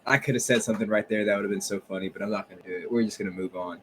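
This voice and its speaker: Low-voiced joking podcast co-host, faint hiss. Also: male voice, fairly even intonation, rapid-fire, fairly bright tone. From United States. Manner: joking, laughing, swears freely. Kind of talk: casual chat. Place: home, remote recording. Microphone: home podcast mic.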